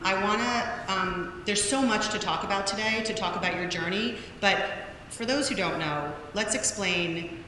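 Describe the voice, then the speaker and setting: A woman's voice: mid-range voice, normal speed, sharp tone, slight background noise. A woman moderating an onstage conversation, reading out an upbeat introduction of her guest and then asking questions. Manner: upbeat, reads a long list. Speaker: interviewer, event moderator. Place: large room at an event. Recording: very echoey hall, faint ambience.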